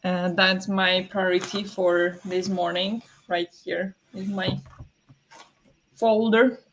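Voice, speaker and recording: A woman hosting a casual morning livestream from home, chatting, apologizing and greeting viewers, with many fillers, soft laughs and a voice that sometimes turns raspy. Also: lowish voice, little pitch movement, slow pace, dull audio, slight background noise. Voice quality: sometimes raspy, habitually clears throat. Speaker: livestream host.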